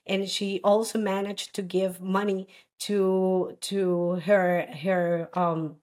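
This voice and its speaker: Woman from costa rica, 30s, telling her story, halting and earnest, lots of restarts. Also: mid-range voice, little pitch movement, darkish tone. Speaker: guest. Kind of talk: personal story in an interview.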